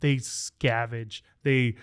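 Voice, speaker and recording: A man's voice: mid-range voice, fairly even intonation, extremely slow, clean tone. A man hosting a podcast, chatty and joking in casual conversation, often repeating words as he goes. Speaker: podcast host.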